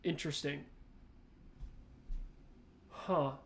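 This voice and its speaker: Higher-pitched man giving casual reaction commentary. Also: steady tone, gravelly. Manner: thinking aloud, halting, piecemeal phrasing. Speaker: reaction video host.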